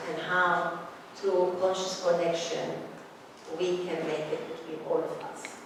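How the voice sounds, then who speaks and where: Middle-aged woman in an echoey hall. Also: lowish voice. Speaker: psychotherapist and mentor giving a talk. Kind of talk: conference talk. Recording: echoey hall, mic far off, background noise.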